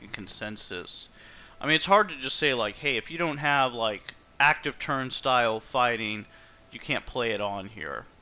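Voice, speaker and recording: Male game streamer, bright forceful voice, mid pitch, informal rambling chat. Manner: informal, rambling, thinking aloud. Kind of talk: casual livestream chat.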